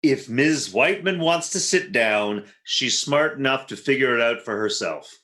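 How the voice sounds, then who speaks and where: A man's voice: mid-range voice, lively intonation, moderate pace, bright, forceful tone. A man playing a jealous, exasperated husband in a 1940s-style radio comedy, sarcastic and huffy. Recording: roomy, echoing recording.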